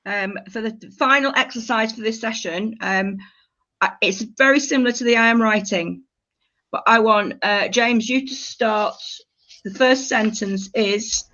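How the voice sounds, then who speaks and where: A woman's voice: a little high, a little slow, bright, forceful tone, lo-fi sound. A woman leading a writing workshop, giving informal, encouraging instructions and repeating them as she goes. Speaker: creative writing workshop leader.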